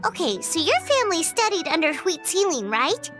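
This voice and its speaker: Tiny fairy sidekick, extremely high squeaky voice, bouncy intonation, nosy and frank, video game, music behind. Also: female voice, very animated, normal speed, a bit bright, heavily acted. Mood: chirpy, inquisitive. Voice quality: squeaky, childlike. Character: small childlike fairy companion, chirpy, frank and nosy. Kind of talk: English video game voice acting. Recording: background music under the voice.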